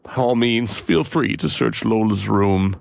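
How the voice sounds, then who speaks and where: Gravelly, cornered theater owner. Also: male voice, lowish voice, unhurried. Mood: resigned. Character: cornered, defensive theater owner confessing under pressure. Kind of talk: dramatic video game acting.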